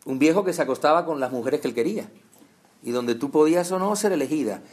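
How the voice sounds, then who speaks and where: Male preacher, fairly high voice, chatty sermon with lots of questions to the listeners. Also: animated, fast talker, a little mellow. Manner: conversational, addresses listeners directly with rhetorical questions.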